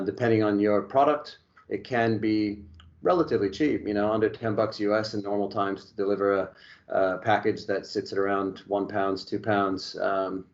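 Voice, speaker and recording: A man from Hawaii, now speaking with an Australian-tinged accent, walks through logistics in a relaxed webinar style, leaning on fillers and restarts. His voice sits low and stays flat in pitch. The call audio is dull and lo-fi. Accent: Australian-tinged American. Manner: conversational, frequent fillers and restarts. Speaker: logistics expert. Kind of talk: webinar presentation.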